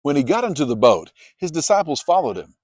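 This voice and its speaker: A man reading scripture as a dramatized, expressive storytelling narration. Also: fairly high pitch, fairly quick, no echo, studio quality. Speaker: narrator, Bible reader.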